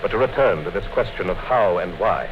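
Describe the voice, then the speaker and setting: A calm interrogator in a 1950s radio drama, cold and measured. His voice moves a lot in pitch, while the old broadcast sounds dull and hissy. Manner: calm, measured, coldly menacing. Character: cold, patient male party interrogator, middle-aged.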